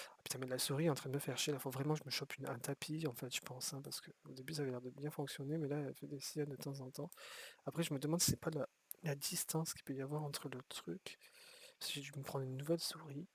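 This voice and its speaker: A man's voice: mid-range voice, flat delivery, quick pace, breathy edge. A man giving rambling, casual commentary while playing a city-building game, often restarting his sentences and swearing offhand. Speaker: gameplay streamer.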